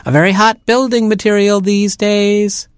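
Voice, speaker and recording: A man acting out a cheery seal peddler who sells straw, twigs and coal from a bobsled. He sings out his wares in a high, slow cry. The room is dry and close. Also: little pitch movement, smooth, dry recording, lightly acted. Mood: hawking. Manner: sing-song street-seller calls. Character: huckstering male seal peddler, cheery salesman.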